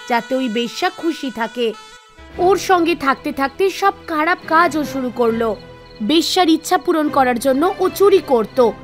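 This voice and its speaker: A woman reading scripted narration for an animated devotional tale, with music behind her. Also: high-pitched. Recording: music bed under the voice. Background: background music.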